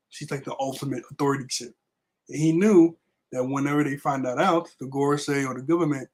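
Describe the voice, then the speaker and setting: A young man with a raspy voice left over from being sick, casually recapping a manga chapter with lots of fillers. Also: mid-range voice. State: raspy voice recovering from illness. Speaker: manga review vlogger. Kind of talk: casual chat.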